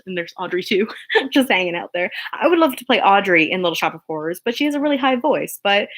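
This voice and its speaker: A young woman chatting casually on a livestream, rambling with many fillers and restarts, earnest and encouraging as she answers viewer questions. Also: a bit bright. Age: young adult. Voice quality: low speaking voice. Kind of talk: casual unscripted chat on a livestream.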